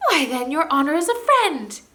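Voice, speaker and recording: A woman voicing a tiny, terrified male gnome who squeals, whimpers and pleads in a very high, strained voice far from her own. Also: sing-song, normal speed, sharp tone, exaggerated voice. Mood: astonished relief.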